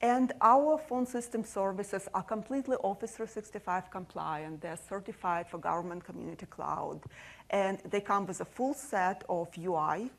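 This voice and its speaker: A woman with a soft voice giving a technical conference presentation, explaining steadily with frequent fillers. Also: medium pitch, moderate pace, creaky. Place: conference session room.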